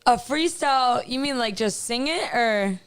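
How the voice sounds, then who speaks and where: Brief: raspy woman guest, high voice, unhurried delivery. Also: lively intonation. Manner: laughing, loose and unguarded. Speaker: musician guest. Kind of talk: casual radio chat.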